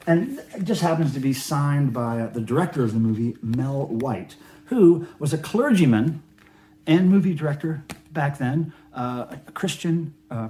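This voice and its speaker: Male collector-vlogger, voice a bit on the high side, casual and rambling show-and-tell delivery. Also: dark tone, slight background noise. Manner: rambling, offhand, conversational. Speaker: record collector host. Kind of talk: casual show-and-tell vlog about records.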